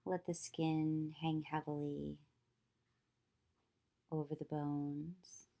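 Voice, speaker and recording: A woman guides a meditation in a low, mellow voice with a very flat, even pitch. She speaks in short, soothing phrases with long pauses between them, like a yoga teacher leading deep relaxation. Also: creaky.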